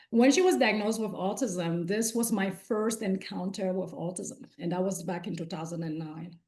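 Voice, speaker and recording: A woman's voice: lowish voice, a little mellow. A woman from Cameroon whose first language is French, giving an earnest talk as a guest speaker at a conference, with frequent "uh" fillers.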